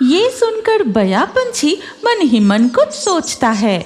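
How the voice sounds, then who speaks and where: A woman's voice: very high-pitched, wildly swooping pitch, takes it slowly. A woman narrating a scripted cartoon story for children, with music behind her voice.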